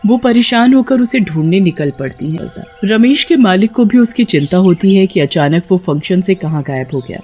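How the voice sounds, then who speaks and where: A man's voice: high voice, lively intonation, moderate pace, hardly any pauses, a little mellow, slightly rough, lo-fi sound. A man narrating a scripted story as a storyteller. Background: music.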